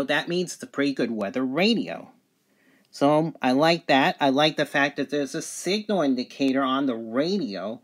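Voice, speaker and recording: A man's voice: fairly high pitch, fairly bright tone. A man giving a casual, plain-spoken product review in short, simple statements. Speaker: gadget reviewer.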